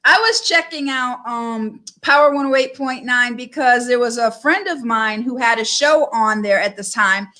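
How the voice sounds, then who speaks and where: A woman hosting a casual live-stream interview, upbeat and affirming with quick asides. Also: a little high, pushed and bright. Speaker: online talk show host.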